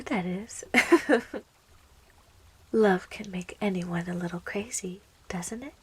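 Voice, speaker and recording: A woman voicing a sweet, clingy yandere plant girl in a soft, coaxing whisper for an ASMR roleplay. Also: medium pitch, breathy edge. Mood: lovestruck. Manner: coaxing, cooing, giggly, slow.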